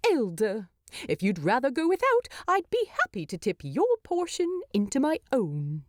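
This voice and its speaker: A woman acts out a wry hobbit gentleman in a storybook. His polite words carry sarcasm, and his pitch swoops about. The sound is very dry. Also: huge pitch swings, normal speed, no echo. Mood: snarky. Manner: arch, wry, snarky. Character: polite but sarcastic, snarky male hobbit.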